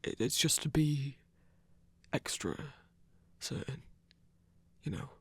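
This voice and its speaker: A performer playing a flustered, protective hunter who stammers haltingly in a breathy, intimate ASMR roleplay. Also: mid-range voice, expressive, full character voice. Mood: nervous reassurance. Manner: halting, stammering, awkward, sighing.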